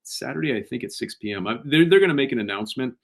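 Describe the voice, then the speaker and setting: Animated man full of restarts. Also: mid-range voice, very fast, creaky, husky. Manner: animated, with many false starts and repeated words. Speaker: interview guest, author and filmmaker. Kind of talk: casual interview conversation.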